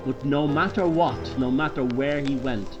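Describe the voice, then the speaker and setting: A man's voice: medium pitch, normal speed, dull audio. Irish man narrating dramatically over music. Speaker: author and narrator. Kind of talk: dramatic horror storytelling, scripted narration.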